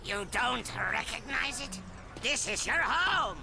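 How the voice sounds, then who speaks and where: A man's voice: very high-pitched, lively intonation, slow, vocal fry, very noisy, exaggerated voice. A man voicing an egomaniacal robot mad scientist in a video game, with theatrical, ranting delivery and sudden shrieks. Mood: grandiose. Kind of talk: animated video game villain acting. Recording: loud music under the voice.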